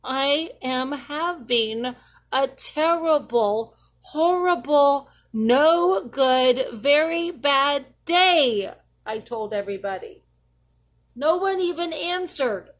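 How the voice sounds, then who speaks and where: Slow, very animated woman reading a storybook. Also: sits high. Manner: theatrical, drawn-out emphasis. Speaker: children's story reader. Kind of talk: expressive read-aloud picture book narration.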